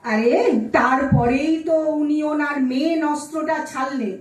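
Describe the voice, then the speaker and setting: A woman's voice: sits high, a little slow. A woman acting a petulant young married daughter in an audio drama, huffy and complaining about her in-laws. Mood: indignant, complaining. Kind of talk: Bengali audio-play acting on stage. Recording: echoey room, distant mic.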